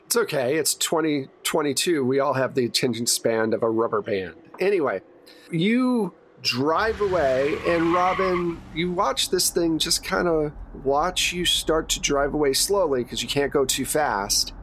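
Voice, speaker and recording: A man's voice: a little high, expressive, measured pace, a bit bright. Man narrating a tabletop game over background noise. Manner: descriptive, casual, unscripted storytelling. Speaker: game master.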